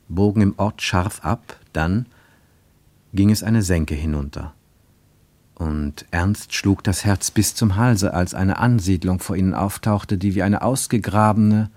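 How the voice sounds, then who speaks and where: A man reading a literary story as a scripted radio narration, with expressive, dramatic phrasing. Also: deep voice, dry recording.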